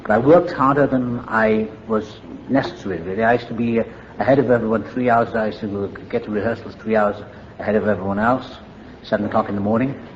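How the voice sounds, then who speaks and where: A man's voice: lowish voice, steady tone, fairly quick, highs cut off, a little hiss or hum. An older man with a Welsh accent, candidly telling his recovery story to a meeting in a reflective, wry way. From Wales. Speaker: AA meeting speaker.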